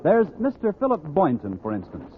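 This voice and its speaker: Polished American male announcer, salesy and swooping, tinny old-time radio with loud music behind. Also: on the high side, wildly swooping pitch, normal speed. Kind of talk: 1940s radio announcing and sponsor reads.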